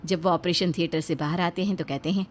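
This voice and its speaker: A woman's voice: lowish voice, fairly even intonation, quick pace. A woman reading scripted narration for a moral story as its storyteller.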